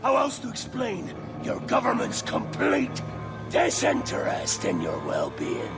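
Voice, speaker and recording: An actor playing a menacing, brooding middle-aged pirate captain in a video game, with a gravelly breathy growl and grandiose delivery. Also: male voice, high voice, wildly swooping pitch, loud music behind the voice, full character voice. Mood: raging oratory. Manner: slow, grandiose, swinging between whisper and roar. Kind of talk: cinematic video game acting.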